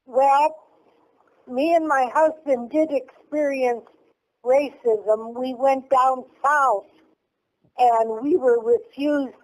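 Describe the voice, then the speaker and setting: A woman's voice: sits high, steady tone, slow, low-quality recording. A woman in her seventies chatting as a guest, answering plainly and unhurriedly. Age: 70s. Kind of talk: casual chat.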